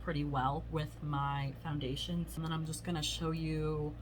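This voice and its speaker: A hoarse woman chatting casually through a beauty product review, rambling with lots of fillers. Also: low voice, flat delivery, normal speed, a little hiss or hum. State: hoarse from illness. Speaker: beauty vlogger. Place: home.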